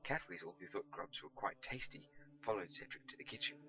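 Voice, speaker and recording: Animated male storybook narrator, creaky edge. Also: mid-range voice, brisk. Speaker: amateur audiobook reader.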